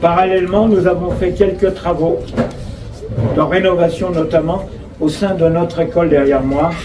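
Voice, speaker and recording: A man with a French accent addresses an outdoor gathering as a local official. His voice is high, and his phrasing is formal and measured, read from notes with off-script asides. The sound is echoey and picked up from a distance. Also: steady tone. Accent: French from France. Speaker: local official giving a speech. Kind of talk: inauguration speech, read from notes with ad-libbed asides. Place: outdoor school forecourt, public address. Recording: roomy echo, distant mic, clear background noise.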